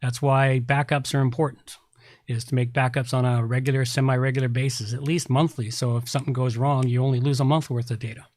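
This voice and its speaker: A man's voice: mid-range voice, little pitch movement, a little fast, darkish tone, no room sound. A man hosting a tech podcast, talking casually and explaining things with emphatic repetition. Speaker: podcast host, website repair specialist.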